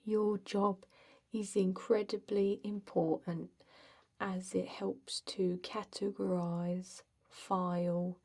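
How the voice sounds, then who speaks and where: A woman acts out a female energy vampire working a dull office job in an ASMR roleplay. The voice is soft, mellow and breathy, often dropping into a whisper. The delivery is extremely slow, halting and deadpan, droning on without hurry. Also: medium pitch. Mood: droning, reading. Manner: halting, monotone, hesitant, deadpan.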